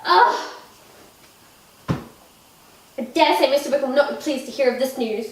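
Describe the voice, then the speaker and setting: A woman's voice: high voice, normal speed, close to an everyday voice. A woman playing a vain, giddy, petulant young Regency wife in a theatrical monologue, with affected, genteel, dramatic delivery. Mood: aggrieved. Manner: affected, dramatic, genteel old-fashioned phrasing. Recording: echoey room, distant mic, faint noise.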